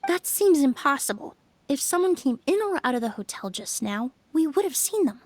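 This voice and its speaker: A woman voicing a calm, composed young female investigator in a video game, soft-spoken and measured. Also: high-pitched, no room sound.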